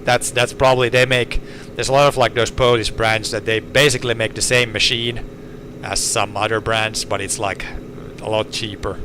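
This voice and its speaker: A laid-back man, slightly low-pitched, talking from a tractor cab. He keeps restarting his phrases in a halting way. A steady hum of engine and air conditioning runs clearly behind him. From Finland. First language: Swedish. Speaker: organic farmer. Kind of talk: casual chat while tractoring.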